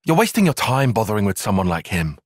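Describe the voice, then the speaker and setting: An earnest young tactician in a video game cutscene. His voice is a little husky and recorded close and dry. He speaks in formal, bookish sentences, with pitch that moves a good deal. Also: sing-song, fast, dry recording. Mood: scornful. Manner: precise, formal, bookish phrasing. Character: analytical, earnest young male soldier and tactician. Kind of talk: dramatic game cutscene acting.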